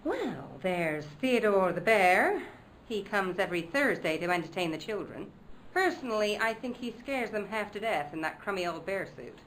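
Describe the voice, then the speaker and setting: A fussy female receptionist from a video game, chatty and quick to take offense. Her voice rises and falls in lively swings as she gossips and scolds. A faint noise runs under the game audio. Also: medium pitch, poor audio. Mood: prim. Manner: prim, chatty, easily scandalized.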